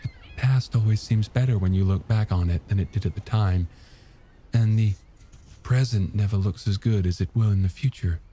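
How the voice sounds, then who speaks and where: A man's voice: lowish voice, moderate pace, dark tone, slight background noise, close to an everyday voice. A man voicing a wistful, nostalgic married woman in an audiobook, reminiscing with some hesitation. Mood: gentle. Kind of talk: audiobook character dialogue.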